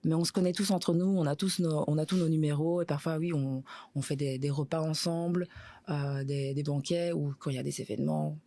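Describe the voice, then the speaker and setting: A woman in her thirties from Belgium, chatting as a podcast guest, hesitant with many fillers and quick to laugh. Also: sits low, steady tone, warm tone, no room sound. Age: 30s. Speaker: podcast guest, content creator. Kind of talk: casual interview chat.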